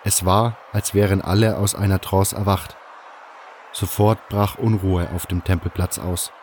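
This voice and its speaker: A man's voice: sits low, little pitch movement, a little slow, darkish tone, a little hiss or hum. A man reading scripted first-person narration for a fantasy audio drama.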